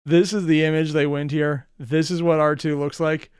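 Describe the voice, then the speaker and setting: A man with a slightly high, smooth voice reacts casually to a story he is following. He speculates aloud and swears easily, in a dry, close recording. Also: little pitch movement, normal speed, no room sound. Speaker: reaction channel host.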